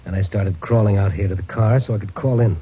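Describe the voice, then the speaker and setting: A man's voice: lowish voice, fairly even intonation, quick pace, close to an everyday voice. An actor playing a wounded, weakened patrolman, stoic and plain-spoken, in a 1950s radio crime drama. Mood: weary, stoic. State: weak from a wound. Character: wounded male patrolman, weary but stoic. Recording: muffled, noisy old radio recording.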